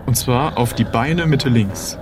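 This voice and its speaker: A man with a medium-pitched voice takes part in an improvised adventure game. He thinks aloud and announces each move deliberately, with clear music behind him. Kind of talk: improvised interactive audio role-play.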